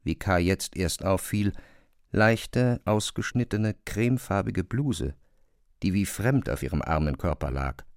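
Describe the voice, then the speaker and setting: A male narrator reads scripted literary prose in a fairly low voice. The recording is very dry and close, without any room sound. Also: animated, unhurried, no room sound.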